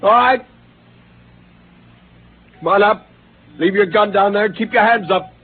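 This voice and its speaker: An actor playing a bitter, disillusioned astronaut who has turned rebel, speaking in an intense, brooding and defiant way in a 1950s radio drama. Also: male voice, high voice, measured pace, husky. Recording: hissy, lo-fi 1950s radio broadcast.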